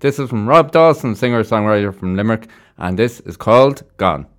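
A man's voice: lowish voice, expressive, a little fast, faint music behind. A man hosting a radio show from a studio in a casual, chatty and informal style. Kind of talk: casual live radio presenting. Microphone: close studio microphone.

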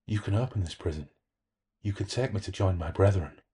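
A man voicing a sly, coaxing spirit with a soft whispery voice and a hidden smile, in a horror story reading. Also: low voice, brisk, slightly rough. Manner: secretly grinning, insinuating. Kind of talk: horror audiobook-style reading.

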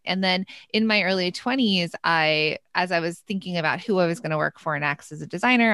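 A woman's voice: sits low, fairly bright tone, no room sound, studio quality. A woman in her thirties, a podcast co-host talking casually and at length, with frequent fillers. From United States. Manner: chatty, rambling with many fillers. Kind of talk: casual interview chat.

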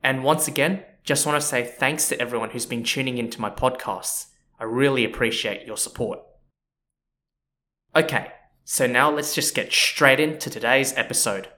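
A man's voice: medium pitch, normal speed, bright, forceful tone. A man hosting a history podcast, explaining in a chatty, casual way with lots of "you know" and "so yeah". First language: not Japanese. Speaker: podcast host. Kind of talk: casual educational podcast narration.

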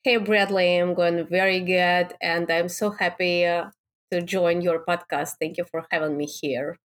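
A woman from Russia with a Russian accent, speaking halting non-native English as a guest in a conversational interview. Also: lowish voice, fairly even intonation. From Moscow, Russia. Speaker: podcast guest, business coach.

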